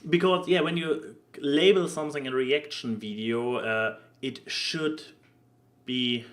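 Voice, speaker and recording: A man hosting a casual podcast discussion, talking haltingly and often stammering or repeating words as he goes. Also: mid-range voice. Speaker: podcast host.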